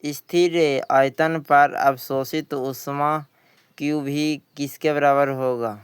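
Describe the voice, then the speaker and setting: A man's voice: fairly high pitch, fairly even intonation, slow, clean tone, no room sound. A man teaching by reading exam questions and explaining the answers, often repeating himself. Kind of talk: exam question walkthrough lesson.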